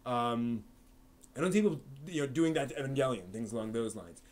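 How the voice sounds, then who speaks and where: A man's voice: medium pitch, lively intonation, a little fast. A man hosting a live stream, chatting casually and answering viewer questions, with lots of fillers and restarts. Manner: rambling, frequent fillers and restarts.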